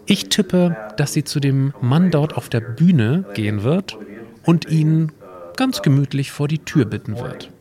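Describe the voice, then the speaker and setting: A man's voice: a little high, expressive, measured pace, crowd noise. Man explaining things as audio play host. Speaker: podcast host and co-creator of an exhibition audio play. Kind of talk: semi-scripted audio play narration and dialogue.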